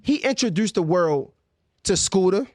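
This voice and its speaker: Man, 30s, from Baltimore, podcast guest. High, lively voice, emphatic, restarts a lot, dry close sound. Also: measured pace, no room sound. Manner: emphatic, repeats words when pressing a point. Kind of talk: heated casual conversation.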